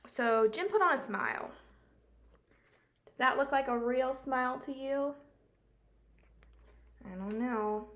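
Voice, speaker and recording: Female children's storyteller, fairly high voice, unhurried expressive read-aloud, explanatory asides to kids. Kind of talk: children's picture-book read-aloud with questions to listeners.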